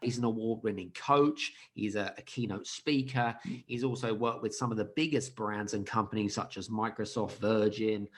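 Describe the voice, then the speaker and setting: A man hosting a business podcast, introducing his guest with lively emphasis, loud greetings and halting restarts. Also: on the low side. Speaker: podcast host. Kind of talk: enthusiastic podcast interview.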